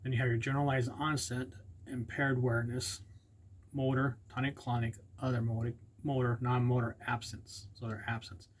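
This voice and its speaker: Husky, rambling man vlogging casually. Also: mid-range voice, little pitch movement, moderate pace. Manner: rambling, unsure, with many fillers and self-corrections. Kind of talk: casual informational vlog, partly reading aloud.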